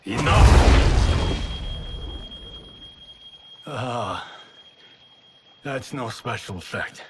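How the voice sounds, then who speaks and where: An actor playing a vain, wisecracking action movie star in a video game, flippant and sarcastic. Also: male voice, medium pitch, lively intonation, extremely slow, slight background noise. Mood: flippant, puzzled. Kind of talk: video game cutscene acting.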